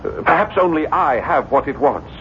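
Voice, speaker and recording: Haunted obsessive writer, intense radio drama acting, pitch all over, dull noisy old recording. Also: male voice, lively intonation, a bit bright. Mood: brooding obsession. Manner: intense, dramatic, halting and brooding. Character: tormented, obsessive French writer fearing a supernatural invader. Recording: muffled, noisy vintage radio broadcast.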